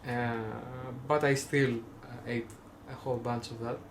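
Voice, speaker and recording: A man's voice: medium pitch, level tone, a little slow, vocal fry, a little hiss or hum. A young man from Greece with a Greek accent, rambling casually in a vlog, full of fillers and self-restarts with wry jokes. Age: young adult. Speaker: vlogger.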